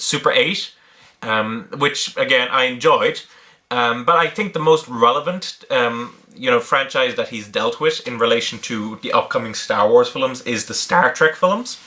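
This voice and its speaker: A man's voice: mid-range voice, bright, forceful tone. A man from Ireland with a blended Irish, British and American accent, casually answering questions in an unscripted, hesitant way, thinking aloud with many ums. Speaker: online video creator answering viewer questions.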